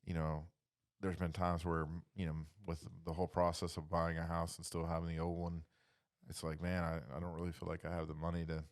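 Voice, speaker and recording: A man with a low, resonant voice leads a casual faith discussion. His intonation stays quite flat. He reflects as he goes, halting and padding phrases with "you know".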